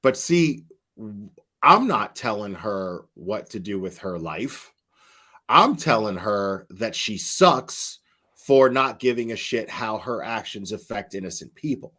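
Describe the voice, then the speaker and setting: Slow, blunt man hosting a livestream. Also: on the low side, expressive, pushed and bright. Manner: blunt, profane, rambling with fillers. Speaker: commentary channel host. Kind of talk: casual livestream commentary.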